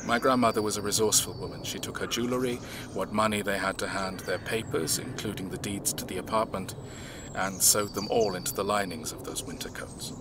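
A man acting in an audio drama, playing a reserved, measured man who recounts his family's past in a reflective way. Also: lowish voice, fairly even intonation, background noise, natural voice.

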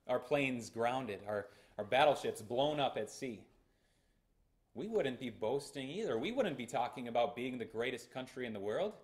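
Young man, American pastor, emphatic sermon delivery, small church, camera mic. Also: mid-range voice. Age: young adult. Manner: earnest, emphatic preaching with hand gestures. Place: small church sanctuary, indoors. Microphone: fixed camera at the front of the church.

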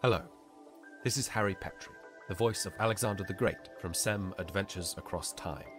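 Deep voiced guy doing a scripted promo read, music behind. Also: normal speed, a bit bright. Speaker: voice actor giving a promotional message.

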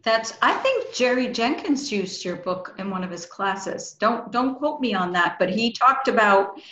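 A woman's voice: on the high side, animated, measured pace, pushed and bright. A middle-aged woman casually interviewing a guest over a video call from a small room, with roomy, slightly dull audio. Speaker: interviewer, host. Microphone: video call, at desk distance.

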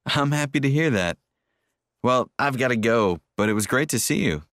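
Animated, friendly man, acted for a textbook. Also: mid-range voice, normal speed, no echo, studio quality. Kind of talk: scripted textbook dialogue.

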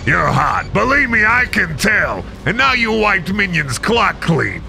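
A man voicing a swaggering, folksy Satan in a comic drama, with gravelly, cocky salesman patter. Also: high-pitched, very animated, unhurried, bright, forceful tone, soft background music, heavily acted. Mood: gleeful, scheming. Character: swaggering folksy Satan, gleeful demon derby fan. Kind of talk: scripted comic-book voice acting.

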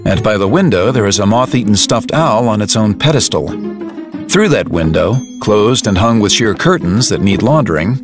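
A man reading scripted dramatic narration for an audio drama. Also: fairly low pitch. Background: music.

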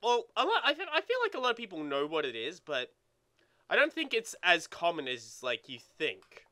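A young man with a very bright, forceful voice, slightly high and swinging widely in pitch. He chats casually over a game, excitable and sweary, stumbling over repeated words. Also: normal speed. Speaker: let's play co-host. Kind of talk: casual chat while playing a video game.

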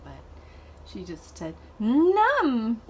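A woman's voice: mid-range voice, measured pace, fairly bright tone, breathy edge. A woman around her thirties talking casually, as in a personal vlog. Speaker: vlogger, singer-songwriter.

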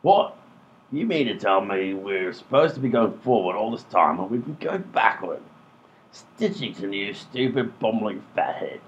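A man's voice: on the low side, big pitch swings, unhurried, slight background noise. A man playing a dim, easily exasperated robber sidekick in a comedy radio play, blunt and colloquial. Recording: dull lo-fi audio, music behind.

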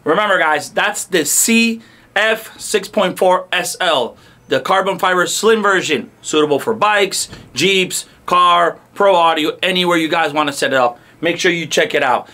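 A man's voice: sits high, normal speed, pushed and bright, faint music behind. A man in his thirties hosting a casual product demo, talking to camera in short, punchy, enthusiastic phrases. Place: indoor car audio showroom. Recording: clear background noise.

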